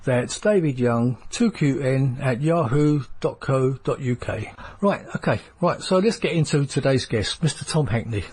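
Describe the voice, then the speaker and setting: A man's voice: mid-range voice, lively intonation, moderate pace, darkish tone, slightly rough. Chatty London man hosting a show. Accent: London English. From London, England. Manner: chatty, laughs often, repeats himself. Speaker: podcast host. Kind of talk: casual interview chat.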